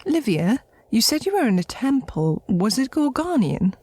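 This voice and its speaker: A woman playing an earnest, reflective alien woman in an audio drama, speaking in a measured, articulate and solemn way. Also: on the high side, big pitch swings, a little mellow, husky, dry recording. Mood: curious, thoughtful. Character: earnest, reflective alien woman revering her people's ancient past.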